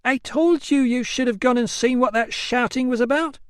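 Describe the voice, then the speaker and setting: A man voicing a sharp-tongued barber's wife who scolds her husband. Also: extremely high pitch, normal speed, clean tone, no room sound, studio quality, pitched above the performer's own voice. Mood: scolding. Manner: scolding, reproving.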